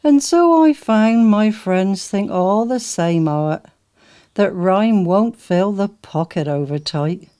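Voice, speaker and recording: A woman's voice: mid-range voice, animated, slow, dark tone, clear, resonant tone. A woman with a Dorset accent reciting dialect poetry. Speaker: poetry reader, literary society member.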